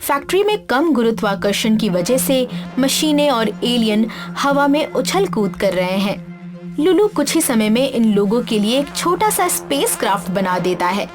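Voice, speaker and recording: A woman works as a storyteller, reading a scripted children's tale. Her voice is fairly high, and music plays clearly behind her. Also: very animated, a little slow. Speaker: story narrator.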